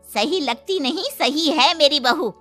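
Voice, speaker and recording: A woman's voice: sits high, expressive, measured pace, a bit bright, voice pushed up. A woman voicing an affectionate but bossy, protective middle-aged mother-in-law in a moral story. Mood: indignant, scolding. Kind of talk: moral story character dialogue. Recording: music behind the voice.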